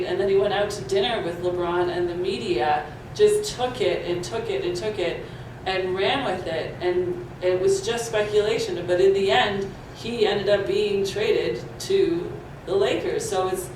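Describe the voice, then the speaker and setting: A woman on a conference panel speaking casually and conversationally, repeating herself at times. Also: medium pitch. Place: large echoey conference hall. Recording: echoey hall audio from far off with noise.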